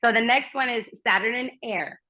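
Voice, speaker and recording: A woman's voice: on the high side, normal speed. A woman, a native German speaker, talking as a guest astrologer in a casual remote conversation. She speaks earnestly and personally, in long flowing runs. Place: remote, while traveling. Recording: muffled lo-fi remote audio.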